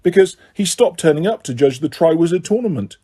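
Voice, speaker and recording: Man reading as an earnest teen boy, plain and curious, warm husky voice. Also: on the high side, lively intonation, brisk. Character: earnest teenage wizard boy. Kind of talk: audiobook character voice.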